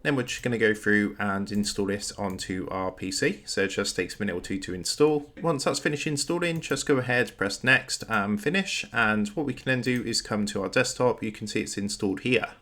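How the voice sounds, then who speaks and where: A man with a fairly low voice hosts a how-to video. He narrates in a scripted instructional style, guiding the listener step by step. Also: brisk, few pauses, fairly bright tone. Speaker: tutorial host.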